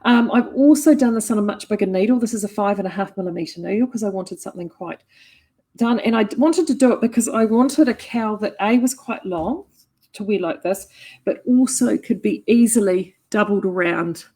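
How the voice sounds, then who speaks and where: A middle-aged woman with a broad New Zealand accent chats to camera from her yarn shop. Her voice sits at an ordinary pitch with a husky, slightly breathy edge. She talks in an eager, rambling way, with lots of ums and restarts. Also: fairly quick, mellow. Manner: chatty, enthusiastic, many fillers and restarts. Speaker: yarn shop owner and knitting vlogger. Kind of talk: casual chat to camera.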